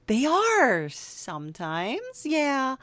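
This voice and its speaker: Slow, hesitant woman hosting, close dry sound. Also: high voice, wildly swooping pitch, fairly bright tone, dry recording. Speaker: podcast host and interviewer. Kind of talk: casual, playful comedy interview.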